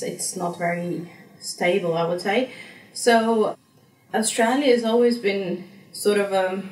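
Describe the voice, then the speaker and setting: A young woman from Slovenia with a Slovenian accent, speaking English as a second language and answering interview questions in a chatty way, with many fillers and restarts. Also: on the low side. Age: young adult. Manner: chatty, frequent fillers and restarts, laughs easily. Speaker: interviewee, graduate student. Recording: echoey room, mic far off.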